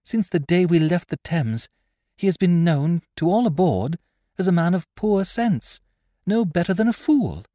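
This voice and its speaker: A man's voice: high-pitched, big pitch swings, measured pace, warm tone, no echo, studio quality, pitched above the performer's own voice. A man voicing a cool, blunt, commanding young woman in a seafaring storybook. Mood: puzzled, probing. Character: commanding, level-headed young woman aboard a mutinous ship. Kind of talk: audiobook character voice, period seafaring speech.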